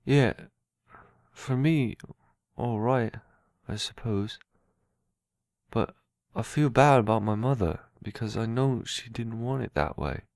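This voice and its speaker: A man playing a simple-minded, earnest young man in an amateur audio drama, eager and anxious, with halting, childlike phrasing. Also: mid-range voice, gravelly, darkish tone, no echo. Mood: hesitant, earnest.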